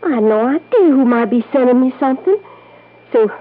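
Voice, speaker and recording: A woman's voice: sits high, expressive, fairly quick. Tinny vintage-radio genteel middle-aged lady. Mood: confiding. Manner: genteel, composed, confiding. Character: dignified, wealthy Southern lady in her late forties. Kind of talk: old-time radio drama acting. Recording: tinny, band-limited vintage radio recording.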